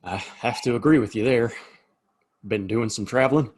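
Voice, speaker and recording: A husky-voiced saloon keeper from an Old West audio drama, acted by a man. He is polite and uneasy, stumbling over his words as he tries to sound natural. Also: mid-range voice, moderate pace, darkish tone, natural voice. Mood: guarded, polite. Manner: hesitant, stammering. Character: nervous, deferential small-town saloon barkeep. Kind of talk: Western audio play acting.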